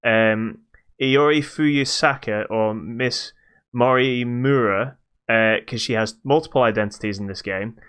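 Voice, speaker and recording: A man co-hosting a podcast, reviewing games in casual chat with halting explanations that trail into dismissive asides. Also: medium pitch, fairly bright tone, clean tone. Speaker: podcast co-host.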